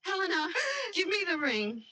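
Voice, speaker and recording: Anxious, high-voiced young bride-to-be. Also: female voice, animated, normal speed, sharp tone, slight background noise, heavily acted. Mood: apologetic. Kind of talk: radio mystery drama acting.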